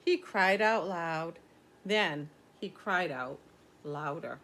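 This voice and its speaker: A woman's voice: fairly low pitch, expressive, slow pace, creaky, fairly bright tone, slight background noise. An older woman reading a children's story aloud, explaining things simply as a teacher would to young listeners.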